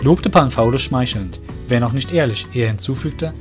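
A man's voice: medium pitch, normal speed. A man narrating a scripted fantasy story as the narrator of an audio drama. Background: soft music.

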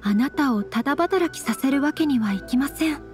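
Gentle anime game noblewoman, high voice, courteous honorific speech, composed, faint music behind. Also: animated, normal speed. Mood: gracious. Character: polite, refined, gentle young noblewoman of fantasy Japan. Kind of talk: Japanese anime game voice acting.

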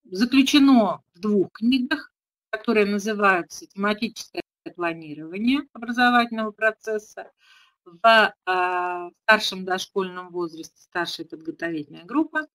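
A woman's voice: medium pitch, a bit bright. Woman presenting a teacher webinar. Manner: explanatory, with frequent hesitation fillers. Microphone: webcam video call.

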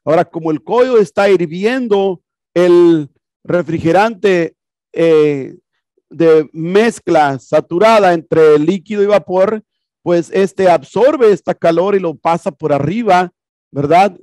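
A man's voice: sits high, slow, a little mellow, no echo. Man teaching HVAC, chatty. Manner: casual, repetitive explaining with rhetorical questions. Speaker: HVAC instructor. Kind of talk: classroom lecture explaining a projected video. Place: classroom with projector screen.

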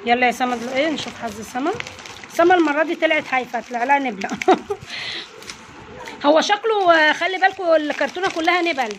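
A woman's voice: sits high, fairly quick, slight background noise. A woman with an Egyptian Arabic accent chatting casually as a channel host, coaxing children along as they open snack bags at home. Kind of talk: casual unboxing chat with children. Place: home, indoors, family around. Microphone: phone held by hand.

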